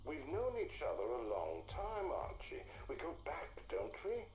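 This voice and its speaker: Wry, persuasive gentleman schemer. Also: a little high, huge pitch swings, muffled recording, exaggerated voice. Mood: coaxing. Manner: measured, wry, theatrical, often hushed. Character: shrewd, erudite, persuasive gentleman scholar schemer. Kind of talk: period video game audio log acting. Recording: roomy audio log with faint noise.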